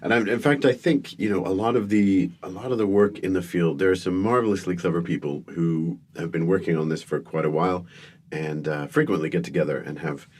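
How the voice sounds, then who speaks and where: Deep-voiced guy born in the uk, chatty, wry, keeps restarting sentences. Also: fairly quick, darkish tone, slightly rough. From born in the United Kingdom to American parents. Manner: self-deprecating, wry storytelling with frequent restarts. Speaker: guest speaker and comedian. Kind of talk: relaxed storytelling interview.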